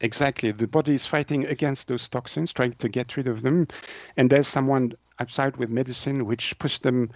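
Halting man, slightly muffled sound. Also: mid-range voice, steady tone, no echo. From Switzerland. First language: French. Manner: halting, hesitant delivery with word searching. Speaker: naturopath, interview guest. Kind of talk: explanatory interview answers.

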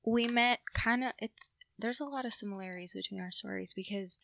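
A young woman from Puerto Rico with an American accent, telling a personal story casually in a slightly nervous, halting way. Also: mid-range voice, vocal fry, fairly bright tone, a little hiss or hum.

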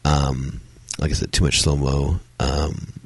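Very deep gravelly man with a cold. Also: level tone, slow, dry recording. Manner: rambling, many fillers and restarts. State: has a cold, strained voice. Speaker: podcast host reviewing films. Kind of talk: solo casual review talk.

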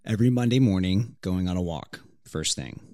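A man with a low, grounded voice chats easily on a couple's podcast, reflective and unhurried. He swears casually and slips into little acted-out quotes of past conversations. The sound is close and dry. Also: mellow, no echo. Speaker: podcast co-host.

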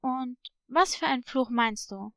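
A woman plays a headstrong little animal girl from a children's story. She is brusque and determined, with a high pitch and a dry sound. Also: a little slow, vocal fry, a bit bright, no echo, exaggerated voice. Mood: urgent, questioning.

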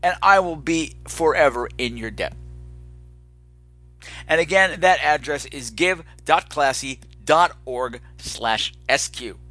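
A man with a bright, forward voice chats as a podcast host over music. His delivery is campy and rambling, with playful drama and a self-deprecating streak. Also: on the high side, expressive, takes it slowly. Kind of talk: casual chatty solo podcast monologue.

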